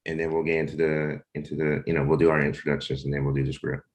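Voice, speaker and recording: A man hosting a livestreamed table read in a casual, informal way, pausing between short phrases. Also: rumbling low, level tone. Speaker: host, comic book creator and narrator.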